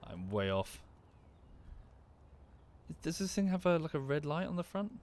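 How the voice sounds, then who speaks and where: A man streams a space game and chats with friends over voice chat. His pitch swings widely as he reacts, breaking into laughter and swearing when weapons go off. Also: unhurried. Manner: excitable, swears and laughs at explosions. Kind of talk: casual gaming chat with friends.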